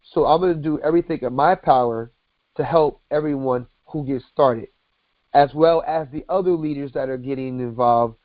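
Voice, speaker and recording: A man giving a sales presentation as a company president on a conference call, deliberately going through items step by step. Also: medium pitch, no echo. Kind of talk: sales presentation, explaining packages and earnings. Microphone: conference call line. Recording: dull lo-fi conference call audio.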